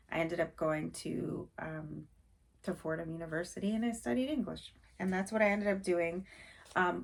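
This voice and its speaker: A woman's voice: on the low side, creaky, a bit bright. A young woman from Haiti, chatting casually to camera in her first vlog, a little nervous and full of fillers. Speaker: vlogger.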